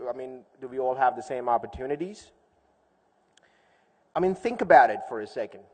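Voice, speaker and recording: A man giving an informal public speech as a conference speaker, conversational, telling stories and posing rhetorical questions. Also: mid-range voice. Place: large hall, speaking to an audience. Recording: echoey hall with long reverb.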